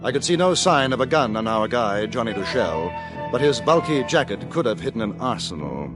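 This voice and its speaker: A man's voice: medium pitch, sing-song, fairly quick, lightly acted. A man voicing a reserved former army captain in an old radio western, with dry, understated wit and polished phrasing. His delivery moves up and down expressively, and music plays beneath the old broadcast. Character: reserved English ex-cavalry captain, adult man. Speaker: narrator and lead. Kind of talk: 1950s radio drama acting.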